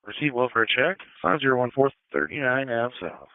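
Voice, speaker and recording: A man's voice: mid-range voice, lively intonation, moderate pace, dry recording. A man giving terse police radio calls as a dispatcher over a two-way radio. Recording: dull, narrow police scanner radio.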